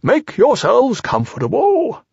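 A man voicing a solemn, long-winded male owl in a storybook, with pompous, formal, drawn-out speech. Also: extremely high pitch, huge pitch swings, creaky, darkish tone, breathy edge, dry recording, muffled recording, pitched above the performer's own voice, exaggerated voice. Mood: kindly.

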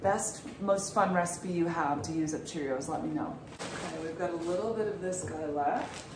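A woman's voice: on the low side, moderate pace. Chatty woman in an echoey kitchen. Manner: chatty, asks viewers questions. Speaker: vlogger. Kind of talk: casual chat to camera. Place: home kitchen, indoors. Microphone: handheld phone, often set down at a distance. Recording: echoey kitchen, mic far off, noisy.

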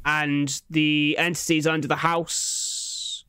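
A man's voice: fairly high pitch, little pitch movement, takes it slowly, bright, forceful tone, slight background noise. A young man giving casual reaction commentary in slangy, sweary language, recorded close on a desk microphone at home. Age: young adult. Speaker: reaction video host. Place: small room at home, indoors. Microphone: large desk microphone, close up.

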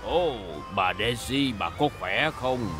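A man voicing a kindly, doting old grandpa car in an animated children's cartoon. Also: medium pitch, takes it slowly. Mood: genial, kindly. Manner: genial, grandfatherly. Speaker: cartoon voice actor. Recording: loud music behind the voice. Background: loud music.

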